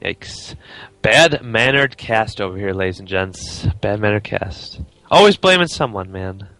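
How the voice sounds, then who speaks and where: Bright young male co-caster teasing his partner. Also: lowish voice, animated, rough recording. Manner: teasing, then fast play-by-play. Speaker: esports caster. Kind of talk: live game commentary.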